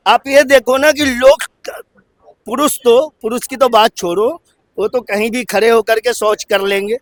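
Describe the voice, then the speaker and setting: A man's voice: very high voice, fairly even intonation, moderate pace, no echo. A man in a heated street argument, insistent and repetitive, firing rhetorical questions. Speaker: member of the public arguing in a street interview. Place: outdoors on a city street in Delhi.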